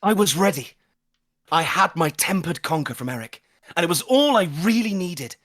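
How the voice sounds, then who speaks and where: A man's voice: sits high, expressive, moderate pace, fairly bright tone, no room sound, clean studio sound, voice pushed up. A man playing a grandiose, menacing schoolboy with earnest self-importance, in a dramatic first-person audio drama monologue. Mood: proud, intense. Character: grandiose, menacing schoolboy obsessed with conker glory.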